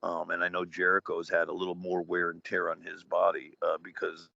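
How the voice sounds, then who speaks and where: A man's voice: low voice, completely flat delivery, a little fast, gravelly, bright, forceful tone. A man in his forties chatting casually on a podcast, rambling with lots of fillers and wrestling slang. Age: 40s. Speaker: guest, retired wrestler. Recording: dull lo-fi podcast audio, dry.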